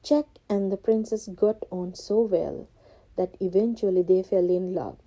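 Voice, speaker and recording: A woman reading a scripted children's storybook as narrator, expressive and carefully phrased. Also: mid-range voice, measured pace, poor audio.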